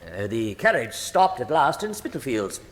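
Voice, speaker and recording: An actor playing an earnest, loyal, excitable Victorian doctor in a radio drama, with a flustered, proper manner. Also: male voice, medium pitch, normal speed, natural voice. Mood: earnest, dramatic. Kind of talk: full-cast radio drama acting. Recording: reverberant hall sound.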